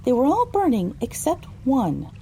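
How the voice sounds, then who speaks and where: Mellow, slightly high woman as pony narrator. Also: wildly swooping pitch, slight background noise, exaggerated voice. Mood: measured. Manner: measured, descriptive storytelling. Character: female pony narrating her own adventure. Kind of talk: scripted first-person story narration.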